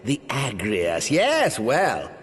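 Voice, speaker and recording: Smug, swooping, theatrical fantasy lord. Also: male voice, mid-range voice, huge pitch swings, slightly rough, heavily acted. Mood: sly, teasing. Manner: theatrical, drawn-out, smug oratory. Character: sly, pompous wealthy male lord and merchant politician. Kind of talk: fantasy video game acting, archaic speech. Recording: long hall reverb, faint music behind.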